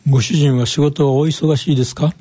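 男性が演じる dark-voiced, husky counselling doctor. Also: male voice, a little high, fairly even intonation, a little fast, no room sound. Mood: gently advising. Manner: informal, advisory. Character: kindly, unhurried male doctor counselling a couple. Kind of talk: amateur radio drama acting.

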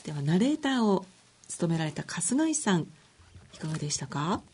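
Female radio presenter, slightly low pitch, very varied intonation, polite interviewing style. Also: very animated, a little fast, warm tone, husky. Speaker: radio show host.

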